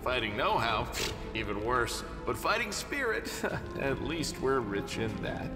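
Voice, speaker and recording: A man's voice: mid-range voice, sing-song, measured pace. A man playing a wry, self-deprecating adventurer in a fantasy game, with a joking, theatrical manner. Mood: wry, cheerful. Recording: echoey hall under loud game music.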